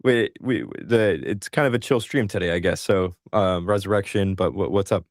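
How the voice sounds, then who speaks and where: A man's voice: sits low, moderate pace, no echo, clean studio sound. A man hosting a live call-in stream, chatting casually and joking about himself. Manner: chatty, self-deprecating, joking. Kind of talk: casual chat.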